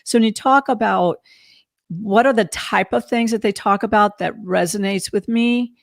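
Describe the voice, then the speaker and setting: A woman's voice: medium pitch, moderate pace, creaky, no echo, clean studio sound. A woman podcast host talking casually and reflectively, in a candid, emphatic way. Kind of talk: casual reflective interview talk.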